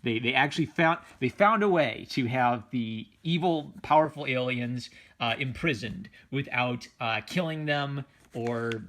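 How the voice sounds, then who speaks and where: A man's voice: mid-range voice, measured pace, few pauses, fairly bright tone. A man giving casual, unscripted commentary as a comic book reviewer, enthusiastic and rambling, often circling back on his phrases.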